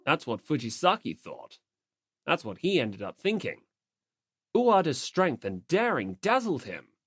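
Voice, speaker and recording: A man reading a story aloud as an audiobook narrator, in expressive scripted narration. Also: a little high, dry recording, studio quality.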